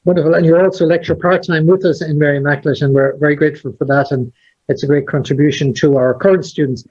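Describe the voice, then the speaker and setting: A man hosts a conversation in a fairly high voice. He talks at an easy pace, pausing on fillers. The recording sounds dull and lo-fi. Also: fairly even intonation, darkish tone, husky. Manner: measured, hesitant with fillers. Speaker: host. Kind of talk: hosted conversation.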